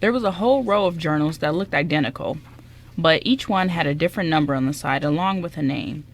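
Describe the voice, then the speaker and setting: A woman's voice: low voice, brisk. A woman reading scripted narration for an amateur radio drama as its narrator.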